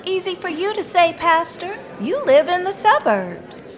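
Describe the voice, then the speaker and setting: A woman's voice: very high-pitched, very animated, unhurried, soft background music, heavily acted. A woman playing an indignant, skeptical neighborhood resident in an audio drama, scornful and protesting.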